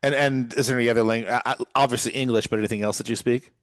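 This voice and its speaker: Fast-talking, rambling male podcast host. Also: medium pitch, steady tone, no echo. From California. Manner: rambling, curious questions with frequent restarts. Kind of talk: podcast interview conversation.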